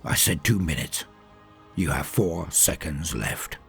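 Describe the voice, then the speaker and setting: A man acting a stern, husky soldier sergeant. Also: rumbling low, slow, faint music behind, voice pushed down, full character voice. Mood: cold menace. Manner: flat, commanding, menacing. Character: stern, unflinching armoured space marine sergeant. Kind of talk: dramatic storybook character acting.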